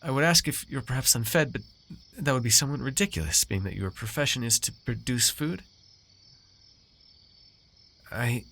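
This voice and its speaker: A man's voice: mid-range voice, gravelly, fairly bright tone, husky, exaggerated voice. A man playing a haughty, teasing, possessive noble master in an ASMR roleplay, with formal, drawling, condescending theatrical diction. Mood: teasing, suspicious.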